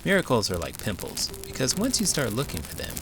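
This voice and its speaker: A man reading a children's book aloud as an expressive storyteller, in the manner of an elementary school teacher. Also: mid-range voice, a little fast, noisy background.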